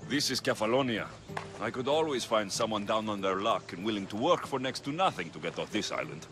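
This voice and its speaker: A man's voice: on the low side, animated, brisk. A man playing a wry, blunt young Greek mercenary in a video game cutscene, with a dry, sardonic manner. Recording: loud background music.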